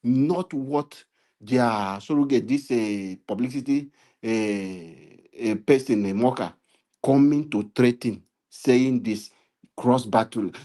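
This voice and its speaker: Nigerian male commentator, warm and mellow, preachy, builds to emphatic repeated appeals. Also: mid-range voice, takes it slowly. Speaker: political commentator. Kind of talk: impassioned political commentary. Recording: live stream.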